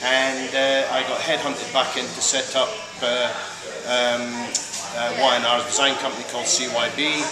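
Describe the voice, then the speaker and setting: A man's voice: medium pitch, little pitch movement, normal speed, hardly any pauses. Chatty Scottish man in an echoey room. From Scotland. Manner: hesitant, many fillers, chatty. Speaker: interviewee, creative director. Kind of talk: casual interview.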